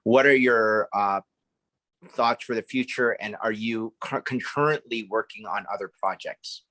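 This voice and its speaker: A man's voice: lowish voice, measured pace, pushed and bright, no room sound, low-quality recording. A man hosting an interview, asking long, rambling, enthusiastic questions. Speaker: interview host.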